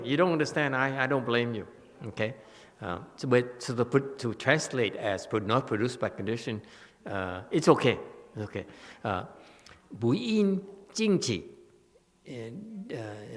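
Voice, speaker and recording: A man giving a Buddhist scripture lecture as a teacher, halting and repetitive, often checking that listeners follow. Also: medium pitch. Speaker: Buddhist teacher, dharma host. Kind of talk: sermon, explanatory scripture lecture. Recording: long echoing hall reverb.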